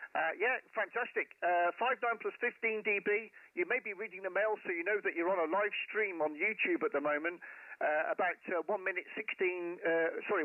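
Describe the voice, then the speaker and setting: A man's voice: high-pitched, dry recording, phone-quality sound. A man speaking as an amateur radio operator in a friendly, chatty, courteous way, close on a headset mic. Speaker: amateur radio operator, live stream host. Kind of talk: casual radio contact chat with callsigns in phonetic alphabet.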